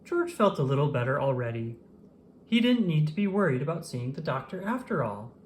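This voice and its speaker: Children's book narrator, mid-pitched voice, highly varied intonation, expressive emphasis, faint background noise. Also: fairly quick. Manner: animated, dramatic emphasis. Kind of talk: expressive read-aloud storytelling.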